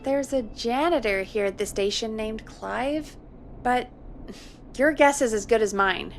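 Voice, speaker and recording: A woman playing a calm, level-headed radio show producer in a video game. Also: fairly high pitch, unhurried, soft background music. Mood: matter-of-fact.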